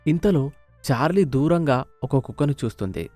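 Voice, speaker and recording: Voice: mid-range voice, expressive, moderate pace, a little mellow. Story narrator over light music.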